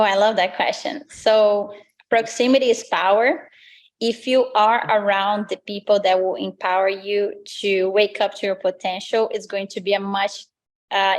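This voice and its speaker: A woman's voice: mid-range voice, flat delivery, normal speed. A woman from Brazil with a Brazilian accent, a native Portuguese speaker, answering interview questions as a lifestyle coach in an earnest, motivational way. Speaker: lifestyle coach, podcast guest.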